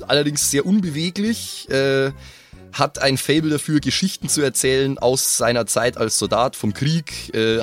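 A man casually describing a game character as a player, colloquial and thinking aloud. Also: mid-range voice. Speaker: tabletop role-playing podcast player.

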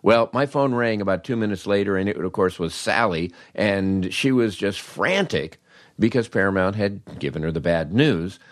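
An older American man with a low-pitched voice tells anecdotes as a podcast host. His delivery is conversational and wry, with an animated storyteller's touch. The sound is dry, with very little room in it. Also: normal speed, dry recording. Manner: wry, animated, acts out dialogue in his stories.

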